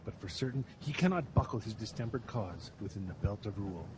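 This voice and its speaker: A man's voice: lively intonation, a little fast, darkish tone, close to an everyday voice. A man playing a sober, well-informed rebel nobleman in a Shakespearean radio drama. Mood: sober, disdainful. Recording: noisy recording with low rumble.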